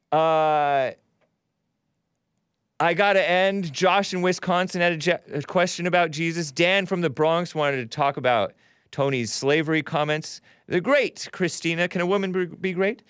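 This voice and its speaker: A man hosts a call-in show, arguing scripture and reading viewer messages aloud. His voice sits fairly high and sounds smooth and clear. The recording is dry and close. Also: a little slow, fairly bright tone, no echo. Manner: argumentative, quotes scripture, chuckles while reading.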